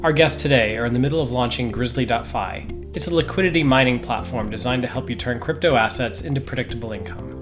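A man's voice: mid-range voice, fast, few pauses, over music. A man hosting a podcast, asking questions in a friendly, conversational way. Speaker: podcast host.

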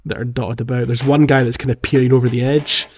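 Guy podcast host, mid-pitched voice, jokey casual gaming chat, does bits of dialogue. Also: level tone, fast talker, darkish tone, a little hiss or hum. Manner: jokey, acts out characters' lines. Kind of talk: casual video game discussion.

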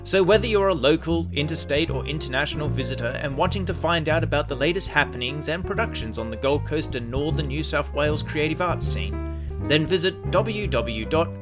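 A man's voice: on the high side, expressive, brisk. A man hosting and narrating a radio play in a scripted storytelling style. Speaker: host and narrator. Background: music.

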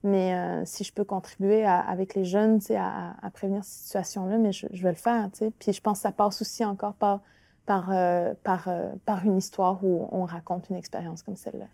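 A woman with a Quebec French accent and Innu as her first language, a guest author speaking thoughtfully with many pauses and restarts in an interview. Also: mid-range voice, level tone, creaky, dark tone, dry recording. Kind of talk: reflective conversational interview.

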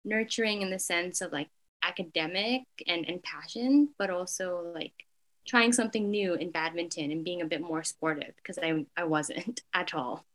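Soft-spoken young woman, filler-heavy. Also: on the low side, moderate pace. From Canada. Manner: frequent "like" fillers, soft and hesitant. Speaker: interviewee. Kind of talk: casual reflective interview.

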